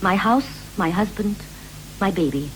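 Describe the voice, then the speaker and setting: An actress playing a dutiful, busy housewife and doting mother in a 1960s radio drama, speaking in measured, clipped poetic phrases. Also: medium pitch, expressive, natural voice. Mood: wistful. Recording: noisy, humming 1960s radio recording.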